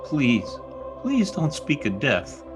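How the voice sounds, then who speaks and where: Male actor as anguished bitter lover, theatrical radio drama delivery, highly animated intonation, music underneath. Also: slow. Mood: anguished, pleading. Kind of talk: old-time radio drama acting. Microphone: recorded remotely over video call.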